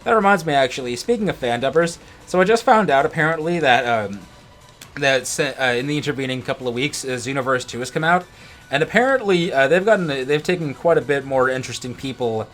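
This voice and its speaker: A man's voice: mid-range voice, brisk, fairly bright tone. A man co-hosting a gaming video in casual chat, rambling and often stumbling back over his words. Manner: rambling, stammering with repeated words. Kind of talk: casual let's play chat. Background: video game music.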